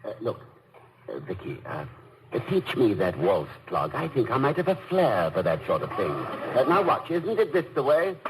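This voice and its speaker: A man playing a fond, flustered, halting husband in an old radio comedy. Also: medium pitch, wildly swooping pitch. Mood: hesitant. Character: fond, flustered husband, gently self-mocking gentleman. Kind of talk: 1940s-50s radio comedy acting. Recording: noisy old radio broadcast recording.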